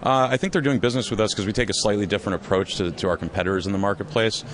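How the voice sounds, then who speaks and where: A man who co-founded a software company, explaining his business in a measured, conversational interview at a trade expo. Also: lowish voice, fairly even intonation. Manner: measured, explanatory, with frequent restarts.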